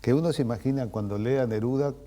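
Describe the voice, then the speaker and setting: A man's voice: medium pitch, quick pace, dark tone. A man hosting an interview in casual chat, frequently chiming in. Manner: chatty, interjects often. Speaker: interviewer host.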